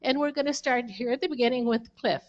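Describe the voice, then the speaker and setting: A woman's voice: on the high side, fast talker, muffled recording. A woman moderating a community candidates' meeting, laying out the guidelines in an organized, instructive way.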